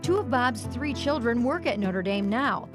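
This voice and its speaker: A woman's voice: fairly high pitch, animated, a little slow. An American woman reporting on television, reading scripted narration for a sports news feature. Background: music bed.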